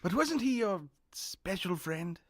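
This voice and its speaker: An actor playing a hapless, self-absorbed wealthy gentleman in a comedic mystery audio drama. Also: sits high, big pitch swings, slow pace, dry recording, clean studio sound, exaggerated voice. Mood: bewildered. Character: foppish wealthy male host, hapless, self-absorbed, flustered.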